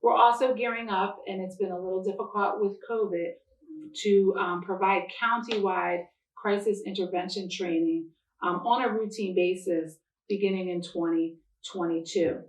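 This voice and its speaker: Woman prosecutor doing formal public remarks, measured n deliberate, roomy echo, bit far from the mic. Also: medium pitch, fairly even intonation. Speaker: county prosecutor. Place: church interior. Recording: echoey room, distant microphone.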